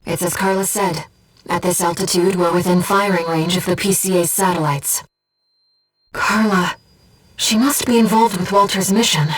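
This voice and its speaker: A woman voicing an earnest, gentle guide who speaks inside a pilot's head in a mecha video game, in measured scripted delivery. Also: fairly low pitch, steady tone, far from the mic, natural voice, poor audio. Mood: focused briefing. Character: soft-spoken, earnest female voice in a pilot's head, loyal guide. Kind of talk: scripted video game voice acting, tactical callouts.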